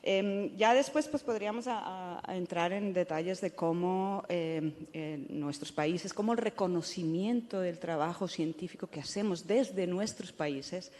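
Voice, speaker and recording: A woman's voice: lowish voice, measured pace, few pauses, a little mellow. Spanish accent lady on a panel, lots of ums, echoey hall. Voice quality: hesitant, many fillers. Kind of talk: panel discussion. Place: conference hall. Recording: long hall reverb.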